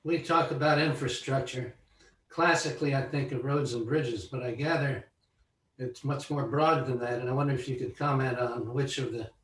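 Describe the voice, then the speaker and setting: A man asking an informal question as a caller on a conference call. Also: mid-range voice. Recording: distant, echoey call audio.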